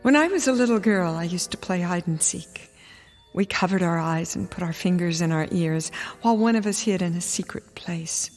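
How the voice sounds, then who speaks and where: Actress as a tense, steely wife in radio drama. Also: female voice, fairly low pitch, normal speed, a little mellow, faint music behind, close to an everyday voice. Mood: wary, resolute. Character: wealthy hard-of-hearing wife, frightened but steely and defiant. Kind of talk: dramatic first-person radio drama narration and dialogue.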